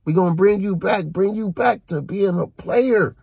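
A man in his thirties talking casually to his phone inside a car, animated and preachy, repeating himself and using street slang. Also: high-pitched, moderate pace, creaky, husky, no room sound. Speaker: vlogger. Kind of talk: casual rant to camera. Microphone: phone held low at arm's length.

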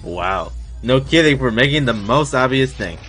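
A man's voice: mid-range voice, expressive, normal speed, fairly bright tone. A young man giving casual live reaction commentary in short exclamations and muttered remarks, recorded in a room at home. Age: young adult. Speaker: reaction video host. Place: small furnished room indoors. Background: show audio and music playing.

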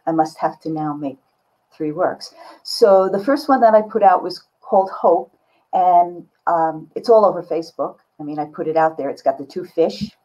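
A woman's voice: on the low side, normal speed, mellow. An older woman artist talking candidly and casually as an interview guest over a video call. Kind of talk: casual reflective interview talk. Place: book-lined home studio room, indoors. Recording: slightly dull video-call audio.

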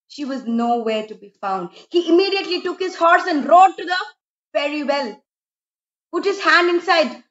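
A middle-aged woman tells a story for children in a dramatic, expressive way, with emphatic repetition. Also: sits high. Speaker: storyteller, host. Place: small room indoors at home. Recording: roomy, slightly dull home recording.

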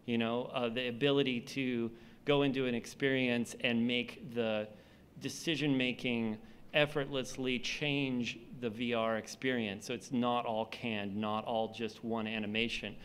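A man's voice: mid-range voice, fairly even intonation, unhurried, fairly bright tone, clear, resonant tone. A man in his thirties speaking fluently and enthusiastically on a conference panel, into a table mic on stage. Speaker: panelist, VR studio founder. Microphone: table mic on stand.

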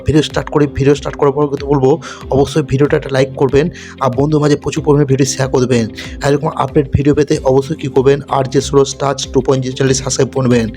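A man's voice: medium pitch, mellow. A man narrating a step-by-step tech tutorial, repetitive and insistent as he addresses viewers as friends. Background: background music.

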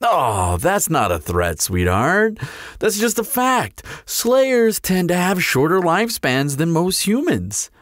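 A man's voice: high-pitched, big pitch swings, slow pace, fairly bright tone, no room sound, clean studio sound, exaggerated voice. A man playing a flirtatious, sardonic vampire in a roleplay audio drama, talking in a glib, teasing, playful drawl. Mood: playful, cocky. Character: flirtatious, sardonic male vampire toying with a slayer.

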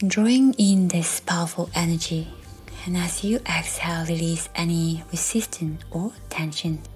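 Woman, meditation guide, low-pitched, even tone, soft breathy near-whisper, soothing, scripted narration. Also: moderate pace. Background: music.

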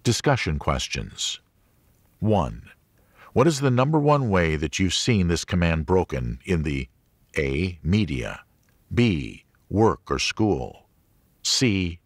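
Deep-voiced male audiobook narrator. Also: very animated, takes it slowly, no echo, studio quality. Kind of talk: scripted audiobook narration of a religious teaching book.

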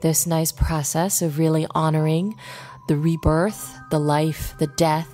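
A woman leading a guided meditation in an unhurried way, pausing between short phrases. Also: sits low, fairly even intonation, warm tone, soft background music.

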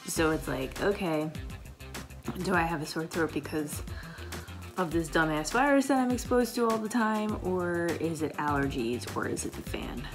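Low raspy woman rambling over coffee at home, music playing behind. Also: animated, moderate pace. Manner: chatty, rambling with fillers. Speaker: vlogger, paramedic. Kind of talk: casual coffee chat to camera. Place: home kitchen, indoors.

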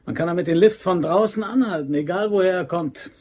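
An actor playing a cocky, scheming amateur robber in a German crime radio drama, speaking in a clipped, cocksure way. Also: male voice, sits high, a little fast, a little mellow, slightly rough.

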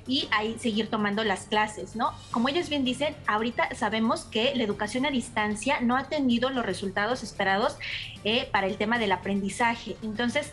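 A woman researcher, speaking as a guest on a video call in a live news interview, measured and explanatory with frequent fillers. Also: on the high side, a bit bright.

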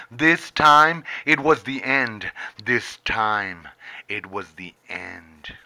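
Very slow, intense middle-aged male poet. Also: lowish voice, bright, forceful tone. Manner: intense, theatrical, with long pauses and emphatic bursts. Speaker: poet reading own poem. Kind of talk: dramatic spoken-word poetry recital. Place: indoors, small book-lined room. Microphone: handheld camera very close to face.

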